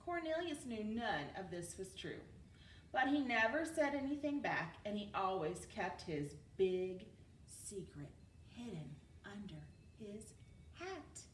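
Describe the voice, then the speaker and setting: Slow, expressive woman reading a storybook. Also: medium pitch. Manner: expressive read-aloud delivery. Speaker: teacher reading a storybook aloud. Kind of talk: children's storybook narration. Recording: roomy, distant mic with background noise.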